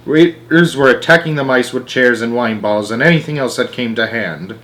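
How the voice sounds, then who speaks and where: A man reads a children's story aloud as an amateur narrator. His voice sits at a middling pitch, and he tells it with animated storytelling delivery. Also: brisk.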